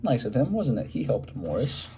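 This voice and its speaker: A man reading a picture book aloud to children in an expressive way, pausing to prompt them with simple questions. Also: medium pitch, husky.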